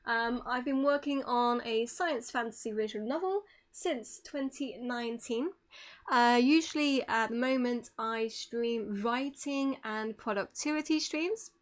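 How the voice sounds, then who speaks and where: A woman giving an informal online presentation as a streamer, polite and apologetic in manner. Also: on the high side.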